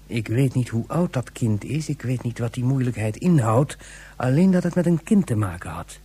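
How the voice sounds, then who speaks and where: A man playing a gruff tribesman passing on rumours, deliberate and condescending, in a radio play. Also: mid-range voice, expressive, warm tone. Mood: wry, guarded.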